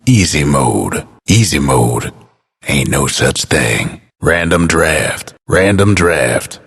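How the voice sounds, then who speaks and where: Very deep, husky folksy narrator. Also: male voice, very animated, a bit bright, full character voice. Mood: dry, musing. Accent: American. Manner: folksy, unhurried, understated. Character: laconic folksy drawling male narrator, wry and world-weary. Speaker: game announcer. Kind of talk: scripted game announcer lines.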